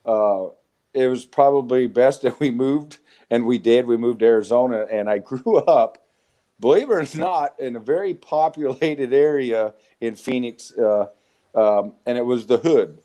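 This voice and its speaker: A man in his forties with a Southwest American accent, telling rough, profane stories of his youth as an interview guest. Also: medium pitch, measured pace, mellow. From United States. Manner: rough-spoken, swearing, frequent restarts. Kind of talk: casual storytelling interview.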